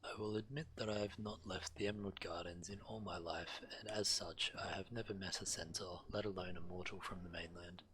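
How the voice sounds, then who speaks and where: A man's voice: low-pitched, fairly even intonation, a little fast, hardly any pauses, fairly bright tone, slight background noise, low-quality recording. A man streaming a game, reading quest text aloud and sometimes stumbling over the words. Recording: game music behind the voice. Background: video game music.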